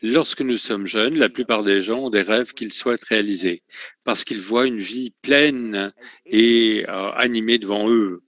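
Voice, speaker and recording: A man giving a French voice-over of a conference talk, speaking in a measured way with frequent hesitations and self-corrections. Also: fairly low pitch, fairly bright tone.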